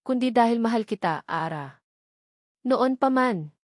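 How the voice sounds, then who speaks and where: A woman's voice: fairly high pitch, expressive, measured pace, dry recording, studio quality. A woman voicing a devoted man, a romantic hero who speaks in short, tender, earnest phrases in an audio love story.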